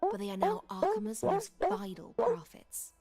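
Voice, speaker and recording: An actress playing a loyal, formal female knight commander in a video game, speaking in a measured, courtly way. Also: extremely high pitch, very animated, warm tone, soft background music, exaggerated voice. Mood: formal, explanatory. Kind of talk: scripted video game character dialogue.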